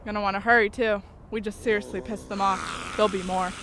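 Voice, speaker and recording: A woman's voice: mid-range voice, flat delivery, quick pace, background noise, lightly acted. A woman playing an unfazed, bossy young ghost-fighting companion in an audio horror drama, speaking in a cool, matter-of-fact, commanding way. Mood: cool, wry.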